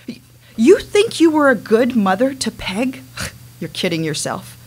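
A wounded, resentful grown daughter, read on stage by a woman confronts her mother. Her voice sits fairly high. She speaks earnestly and haltingly, as in a dramatic reading. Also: poor audio. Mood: bitter. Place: small dimly lit stage venue. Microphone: microphone on a stand.

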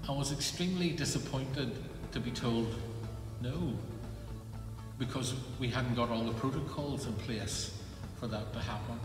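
An older minister talks to his congregation in a calm, reassuring way. His voice rings through a big empty church with a long reverb. Music plays clearly behind him. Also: mid-range voice. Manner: measured, gentle and reassuring. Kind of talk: pastoral announcement to congregation. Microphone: phone camera close up, then from a distance. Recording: echoey church hall with background music.

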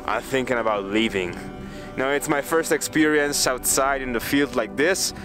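A young man talking casually to his camera outdoors in a vlog, thinking aloud with some hesitation. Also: mid-range voice. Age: young adult. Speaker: vlogger. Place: outdoors on an open mountain hillside.

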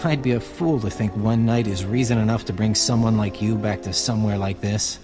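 Actor as flirtatious male rebel, wry easygoing delivery, game cutscene, clear music behind. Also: on the low side, a little fast, close to an everyday voice. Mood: hopeful, rueful. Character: roguish, flirtatious resistance fighter man. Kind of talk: video game dialogue acting.

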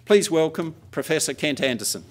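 A man's voice: fairly high pitch, lively intonation, a little fast. A man in his sixties reading a formal introduction from notes at a lectern mic in a large indoor hall. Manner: reads steadily from notes. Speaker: host introducing the speaker.

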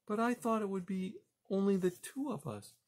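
A man plays an anxious, gentle mother mouse from a children's storybook. The voice sits high and swings up and down. The manner is polite, earnest and a little hurt. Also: lively intonation, moderate pace. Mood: dismayed.

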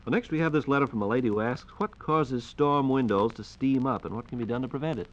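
A man's voice: mid-range voice, quick pace. An American man hosting a 1940s radio program with polite, formal, scripted announcing. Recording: dull, band-limited 1940s radio transcription disc.

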